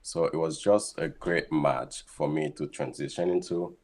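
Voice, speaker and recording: A man's voice: very deep voice, steady tone, a little slow, darkish tone. A man, a guest scientist explaining his work steadily in a casual interview over a video call. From Haiti. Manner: thoughtful, explains steadily with frequent ums.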